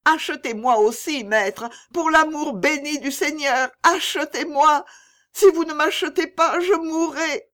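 Woman performing an anguished old mother, high and wailing. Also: unhurried, fairly bright tone. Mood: desperate pleading. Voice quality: broken, wailing voice. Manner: sobbing, pleading. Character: anguished old enslaved mother, pleading and desperate.

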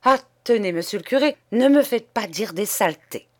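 A woman voicing a pale, distraught nun who pleads in an urgent, hushed voice. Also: medium pitch, very animated, drawn-out words, breathy edge, full character voice. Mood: indignant. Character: pale, distraught middle-aged nun, then sharp.

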